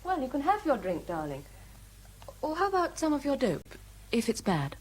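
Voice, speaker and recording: An actress playing a gentle, patient young English woman in a British radio drama, soft, affectionate and coaxing. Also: sits high, wildly swooping pitch, normal speed.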